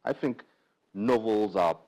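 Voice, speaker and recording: A man's voice: lowish voice, takes it slowly, darkish tone, low-quality recording. Friendly man hosting a book interview. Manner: friendly, laughs often. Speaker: host. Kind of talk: interview questions. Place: TV studio.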